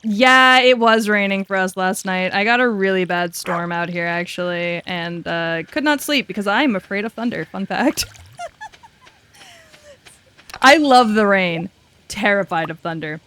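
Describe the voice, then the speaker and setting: A woman's voice: mid-range voice, measured pace, bright, forceful tone, smooth, no room sound. A woman in her twenties chatting casually on a game stream, laughing easily, swearing, and muttering to herself as she works things out. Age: 20s. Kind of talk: casual gaming stream chat.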